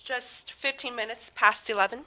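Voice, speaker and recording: An actress plays a female hospital receptionist in a 1940s radio play. She is bright and fairly high in pitch, courteous on the surface and officiously unhelpful. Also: fairly even intonation, normal speed, rough recording. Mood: politely indifferent. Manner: formal, politely officious.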